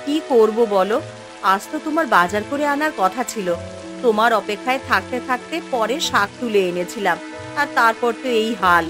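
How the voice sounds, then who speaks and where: A woman's voice: a little high, normal speed, over music, close to an everyday voice. A woman voicing an anxious, caring village wife and mother in a cartoon, plaintive and fretful. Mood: resigned. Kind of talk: Bengali fairy-tale cartoon dubbing.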